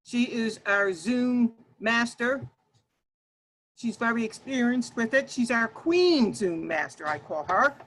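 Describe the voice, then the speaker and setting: A woman presenting an online workshop over a video call, talking loudly and emphatically and addressing her audience directly. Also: on the high side, animated, slow pace, slight background noise.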